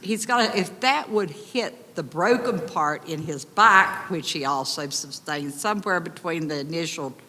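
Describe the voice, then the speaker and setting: An upset woman with a big, loud voice, pleading urgently as a resident at a public meeting. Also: low-pitched, a little slow, few pauses. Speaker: resident addressing county commissioners. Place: county commission meeting room. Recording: echoey hall, distant reverb.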